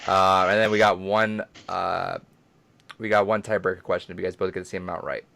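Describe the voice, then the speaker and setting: A man's voice: deep voice, little pitch movement, a little fast, vocal fry, pushed and bright. A young man co-hosting a gaming podcast and running a trivia quiz, teasing and joking. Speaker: podcast co-host, trivia quizmaster. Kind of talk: casual gaming podcast banter.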